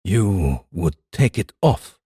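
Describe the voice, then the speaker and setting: A man playing a centuries-old enslaved demon, grave, proud and menacing, with a husky, rumbling voice and formal, weighty phrasing. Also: sing-song, slow pace, warm tone, dry recording, clean studio sound, heavily acted. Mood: incredulous.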